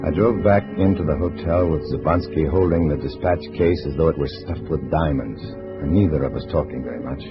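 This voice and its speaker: Hard-boiled American double agent narrating a vintage radio thriller; clipped, low-pitched, lo-fi sound under music. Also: male voice, a little fast, few pauses. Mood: tense, wry. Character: cool, tough undercover agent posing as a communist. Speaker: lead actor and narrator. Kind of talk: 1950s spy radio drama acting. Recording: dull old radio recording with music.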